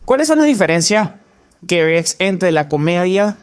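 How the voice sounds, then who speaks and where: A man with a Venezuelan accent hosting an interview, asking questions in a measured conversational style. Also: sits high, sing-song, clear, resonant tone, no room sound, clean studio sound. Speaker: interview host.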